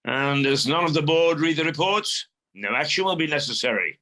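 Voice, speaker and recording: Dry, deferential male junior colleague for radio comedy played by a man; slightly high, very bright voice. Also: normal speed. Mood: dryly deferential. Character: agreeable male underling, quietly dry and questioning. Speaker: actor in a radio play. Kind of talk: radio comedy-drama dialogue.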